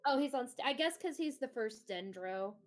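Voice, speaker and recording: A young woman chatting casually while gaming with a friend, excitable and teasing. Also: on the high side, normal speed. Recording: music behind the voice. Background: music.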